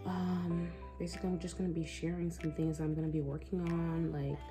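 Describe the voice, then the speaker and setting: A woman's voice: fairly low pitch, level tone, a little fast, music in the background. A 20-year-old woman chatting casually and candidly in a personal vlog, rambling reflectively in a bedroom. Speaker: vlogger. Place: small bedroom, indoors.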